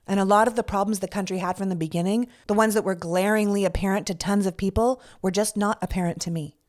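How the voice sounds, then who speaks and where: A woman's voice: medium pitch, fairly even intonation, fast, a little mellow. Candid Canadian-born woman podcaster. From Canada, Toronto. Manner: earnest, candid, with casual swearing and rhetorical questions. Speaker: podcast host. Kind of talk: scripted political monologue.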